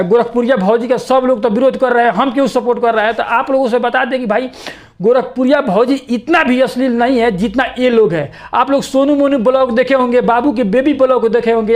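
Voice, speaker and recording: A man giving informal, opinionated news commentary to viewers in a repetitive, insistent way. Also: very high voice.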